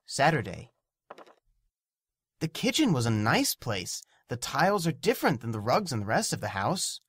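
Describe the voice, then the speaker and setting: A man narrating a scripted story in character as an innocent, gentle imaginary dog, with a childlike, earnest, simple way of telling it. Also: a little high. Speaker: narrator and actor. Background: music.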